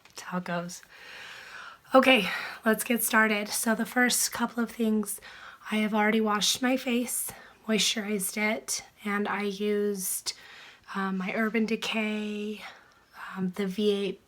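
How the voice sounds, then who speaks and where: A woman who is sick and losing her voice, chatting softly through a makeup tutorial, often dropping into a whisper. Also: mid-range voice, steady tone, slow pace. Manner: soft, chatty, trailing into whispers. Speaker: beauty vlogger.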